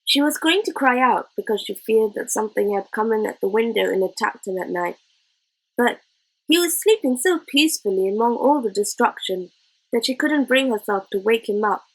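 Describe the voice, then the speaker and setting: A woman narrates a children's storybook. Her voice sits fairly high and has a husky edge, and she reads expressively. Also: expressive, normal speed, poor audio. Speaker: storybook reader.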